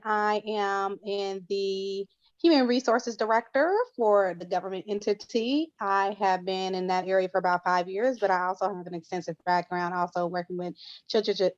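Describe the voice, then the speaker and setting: A soft-spoken woman introduces herself in a measured way as a panel guest. Her audio is dry, with no room echo, and a little muffled, as on a video call. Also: mid-range voice. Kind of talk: self-introduction.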